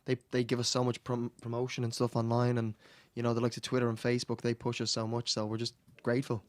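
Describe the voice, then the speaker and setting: A young Irish man with a mid-pitched voice who talks quickly. He sounds casual and conversational, answering questions as a band member on a radio show. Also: monotone, a little mellow. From Ireland. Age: early 20s. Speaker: boy band singer, interview guest. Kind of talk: casual interview chat. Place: radio studio. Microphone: studio microphone.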